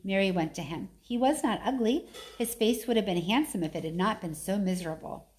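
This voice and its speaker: A woman's voice: mid-range voice, a little fast, a little mellow. A middle-aged woman reading a story aloud expressively, slipping into casual, conversational talk. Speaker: story reader.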